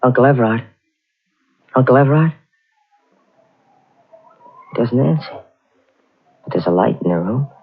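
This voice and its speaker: An actor playing a young husband haunted by a family curse, talking tensely and urgently. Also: male voice, mid-range voice, animated, measured pace, pauses often, breathy edge. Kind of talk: 1940s radio acting. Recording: dull old radio transcription.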